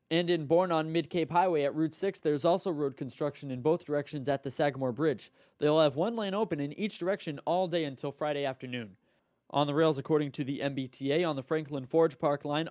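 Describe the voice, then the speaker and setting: A man's voice: a little high, darkish tone, no room sound, clean studio sound. A man presenting radio news, sports updates and sports talk as an anchor and host. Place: radio studio.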